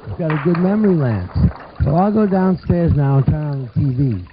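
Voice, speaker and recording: Man as old grandfather, kindly, chatty, puppet show, slightly high voice, muffled noisy recording. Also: expressive, fairly quick, natural voice. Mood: approving. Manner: reminiscing, gently approving.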